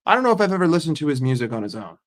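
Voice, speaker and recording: A man's voice: fairly high pitch, very animated, very fast. A young man streaming, chatting casually with his viewers, joking and swearing freely. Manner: profane, joking, reacting to chat. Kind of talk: casual livestream chat.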